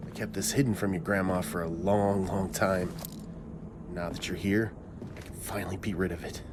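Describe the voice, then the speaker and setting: A man plays a haunted, remorseful old father in a horror game. His deep voice is grave and deliberate, weary with regret, over a clearly noisy background. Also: fairly even intonation, lightly acted. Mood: grave warning. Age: older. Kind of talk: horror game cutscene acting.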